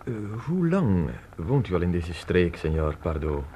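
An actor playing an inquisitive, courteous Spanish traveller of nearly forty in a radio drama, speaking formally and wordily. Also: male voice, deep voice, big pitch swings, a little slow, warm tone. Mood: courteous, probing. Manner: formal, wordy, probing. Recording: noisy old radio play recording.

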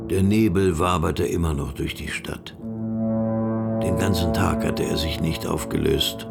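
A man's voice: deep voice, unhurried, vocal fry, over loud music. A man narrating a radio drama from a script as the storyteller.

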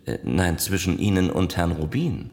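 An actor playing an anxious, conciliatory organiser in a radio play, placating and flustered. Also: male voice, low-pitched, expressive, normal speed, creaky, darkish tone. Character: anxious, conciliatory male organiser trying to mediate.

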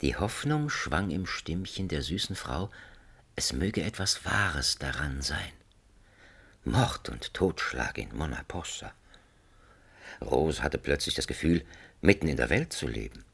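A wry male storyteller narrates a radio satire. His bass voice is gravelly and husky, highly animated as he savours the scripted drama. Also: unhurried, exaggerated voice. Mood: wry, dramatic.

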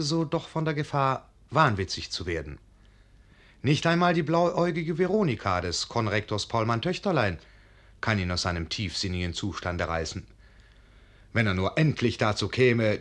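Male narrator for classic radio drama, fairly low voice, measured and expressive literary storytelling.

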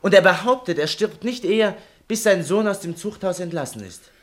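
A man's voice: high-pitched, lively intonation, brisk. An actor in a German radio play playing a clever, earnest, evasive young male pupil, speaking precisely and politely. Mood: earnest, insistent.

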